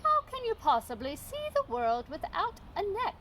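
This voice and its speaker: A woman's voice: very high voice, wildly swooping pitch, normal speed, a bit bright, a little hiss or hum, voice pushed up, exaggerated voice. A woman voicing a blunt, condescending female giraffe in a children's storybook, with an emphatic, lecturing delivery. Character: blunt, self-assured female giraffe, matter-of-fact and condescending. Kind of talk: children's storybook read-aloud.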